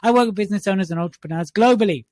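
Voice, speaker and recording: A man's voice: high-pitched, rapid-fire, darkish tone, dry recording. A man co-hosting a podcast in casual, jokey banter, teasing his partner. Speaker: podcast co-host.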